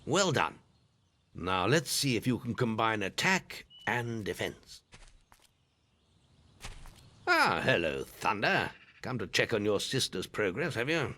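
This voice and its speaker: Deep-voiced older guildmaster, stern but kindly. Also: male voice, sing-song, slow, long pauses, pushed and bright, full character voice. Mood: instructive. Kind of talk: fantasy video game acting.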